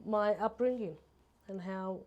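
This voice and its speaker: A woman with an Australian accent talks as a guest in a relaxed interview. Her voice is soft-edged and mellow, at a middling pitch. She speaks reflectively, in an everyday conversational way. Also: a little slow, dull audio. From Sydney, Australia. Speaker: podcast guest, makeup artist.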